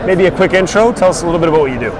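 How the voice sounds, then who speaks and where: A man's voice: a little high, animated, racing, noisy background. A man interviewing a guest at a trade show in a friendly, conversational way. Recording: busy trade show floor crowd. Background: crowd chatter.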